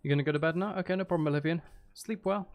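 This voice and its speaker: A man's voice: on the high side, very fast. A man streaming casually, thinking aloud and questioning himself as he works through a building task and chats with viewers. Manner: musing, self-questioning, talking half to himself. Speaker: live streamer. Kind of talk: casual gaming livestream chat, thinking aloud while building.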